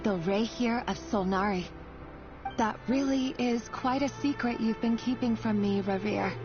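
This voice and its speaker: A woman's voice: on the high side, measured pace, lightly acted. An actress playing a conflicted but resolute young woman with a hidden past, speaking earnestly in a dramatic video game style. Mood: pensive, sorrowful. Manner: earnest, theatrical. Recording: slightly dull game audio with music.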